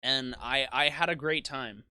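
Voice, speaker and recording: Young man, twenties, bright clear voice, dry close sound, rambling casual monologue, self-deprecating. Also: medium pitch, unhurried, no room sound. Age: 20s. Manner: rambling with frequent restarts. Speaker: YouTube gaming creator.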